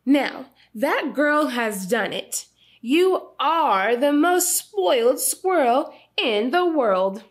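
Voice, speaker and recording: A woman's voice: high-pitched, expressive, drawn-out words, exaggerated voice. A woman voicing a stern, exasperated mother squirrel in a storybook, stretching words for emphasis. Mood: scolding, exasperated.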